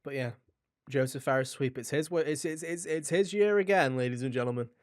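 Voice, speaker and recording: A man's voice: mid-range voice, a little fast, dry recording. A man co-hosting a podcast, chatting casually and enthusiastically about video games with informal slang. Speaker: podcast co-host.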